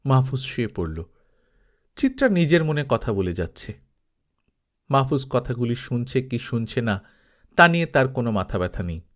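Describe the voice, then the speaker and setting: A man narrates a literary story as an audiobook reader. His voice sits at a medium pitch, with scripted, storytelling delivery. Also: big pitch swings, moderate pace, long pauses.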